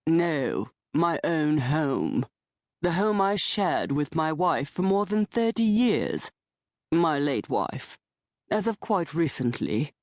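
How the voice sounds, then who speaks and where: A gloomy, thin old man in his late sixties, as a woman reader puts him on. He drawls his words out slowly and formally, in a sour, dry tone, while his pitch rises and falls a lot. He sounds close and dry, with no room around him. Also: very animated, a little mellow, dry recording, full character voice. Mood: glum, formal. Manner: slow, formal and dryly sour. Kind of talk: audiobook character voice.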